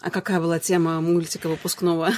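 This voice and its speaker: A woman's voice: lowish voice, flat delivery, fast talker, darkish tone. A woman interviewer asking polite, formal questions.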